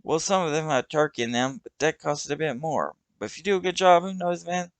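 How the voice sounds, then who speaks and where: A man's voice: fairly high pitch, fairly quick, dry recording, voice pushed up, lightly acted. A man voicing a brash young man who leads a gang, talking aggressively and with swagger. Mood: boastful.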